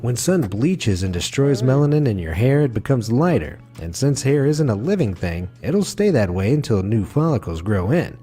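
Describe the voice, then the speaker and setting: A man reading a scripted facts video as narrator, with a smooth, wry delivery. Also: medium pitch, big pitch swings, moderate pace, few pauses, mellow, faint music behind.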